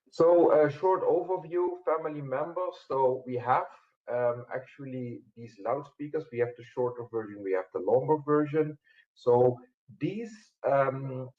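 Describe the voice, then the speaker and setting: A man giving a webinar product presentation in a halting, explanatory way, with frequent hesitations. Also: mid-range voice. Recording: muffled phone-like webinar audio.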